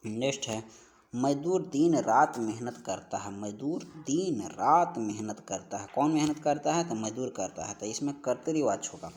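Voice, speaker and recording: A man with a medium-pitched voice gives an exam-prep lesson. He works through practice questions in an instructive way, saying questions and answers over again so that they stick. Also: animated, moderate pace, darkish tone. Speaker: Hindi grammar teacher for exam preparation.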